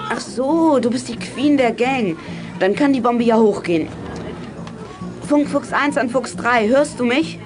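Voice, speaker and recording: A woman's voice: fairly high pitch, a little slow, music in the background, natural voice. A woman playing a bold boy who leads young detectives in a 1980s children's audio drama, confident and commanding. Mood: commanding, excited.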